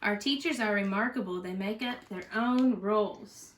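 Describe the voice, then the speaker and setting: A woman reading a children's storybook aloud in an expressive, teacherly way, with chatty asides and questions to a young listener. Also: mid-range voice, moderate pace, a little hiss or hum. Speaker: parent reading a storybook aloud for a school read-aloud.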